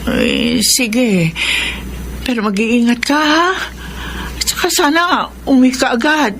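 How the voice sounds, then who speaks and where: A poor Filipino mother in a radio drama, worried and quick to scold. Her voice sits fairly high and is full of fretful feeling. A faint noise runs behind her. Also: sing-song, fairly bright tone, full character voice. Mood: caring, weary. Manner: fretful, emotive. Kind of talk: Tagalog radio drama acting.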